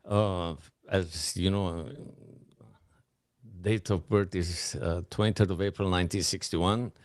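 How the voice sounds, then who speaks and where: A man's voice: sits low, fairly even intonation, slow pace, no room sound. A man in his sixties from Lebanon, a guest photographer telling his life story in a studio interview with dry joking asides. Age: 60s. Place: podcast studio.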